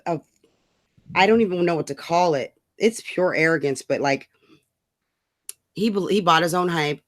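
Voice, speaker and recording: A woman co-host with a slightly low voice rants casually about politics. She sounds scornful and emphatic, and she curses freely as she makes her points. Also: a bit bright. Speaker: talk show co-host.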